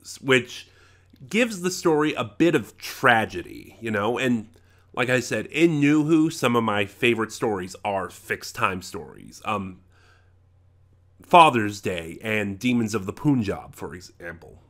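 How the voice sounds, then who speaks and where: A man's voice: lowish voice, animated, measured pace, a bit bright. Casual American man giving a rambling review. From United States. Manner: conversational, rambling with self-corrections. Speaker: audio drama reviewer. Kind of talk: casual unscripted review commentary.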